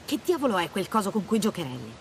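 A tough, blunt mercenary in a video game cutscene. Her pitch moves a lot as she talks, with a guarded, sardonic edge. Outdoor ambience is clearly audible behind her. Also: big pitch swings, fast, a little hiss or hum. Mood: defensive, blunt.

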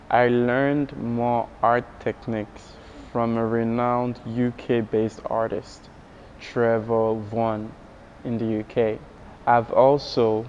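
A man voicing interview answers as an interpreter, in a measured read-out style. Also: medium pitch, darkish tone. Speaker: interpreter voicing a deaf artist's signed answers. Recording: dull, noisy recording.

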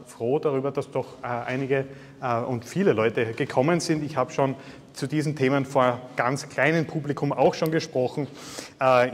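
A man in his thirties with a Styrian Austrian accent, giving a university guest lecture in a chatty, self-deprecating way with many filler sounds. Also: fairly high pitch. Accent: Styrian Austrian German. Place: large lecture hall. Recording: reverberant lecture hall.

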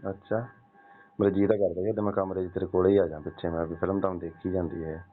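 A man's voice: deep voice, flat delivery, fast talker, natural voice. A man playing a wheedling, insistent elderly father-in-law in a staged phone call, pressing and nagging with grunted question tags. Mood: sly, insinuating. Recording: tinny narrow-band phone call.

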